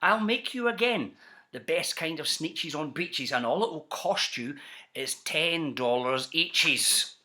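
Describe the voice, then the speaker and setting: A man's voice: fairly high pitch, expressive, slow pace, pushed and bright, exaggerated voice. A man voicing a sly, slick travelling salesman in a storybook, with keen, persuasive patter. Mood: sly coaxing.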